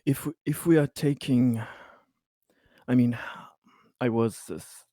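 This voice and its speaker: A man roleplaying at a tabletop game, choosing formal, deliberate words. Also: medium pitch, fairly even intonation, warm tone, dry recording, studio quality. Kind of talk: in-character tabletop roleplay discussion.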